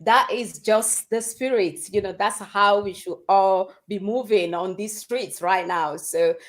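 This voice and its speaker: A woman's voice: medium pitch, unhurried. A woman hosting a livestream interview, speaking in an enthusiastic, encouraging way. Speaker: livestream host.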